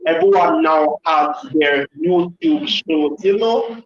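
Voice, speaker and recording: A man's voice: a little high, takes it slowly. A man calling in to a live show, chatting casually and rambling with many hesitations. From Jamaica. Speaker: caller. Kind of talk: casual call-in chat. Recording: echoey muffled phone-in line.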